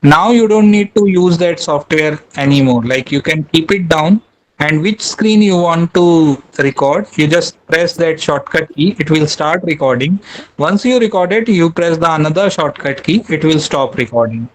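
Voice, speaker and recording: A man's voice: on the high side, expressive, moderate pace, low-quality recording. A man giving a webinar talk, hesitant, with many fillers and restarts. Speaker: guest speaker.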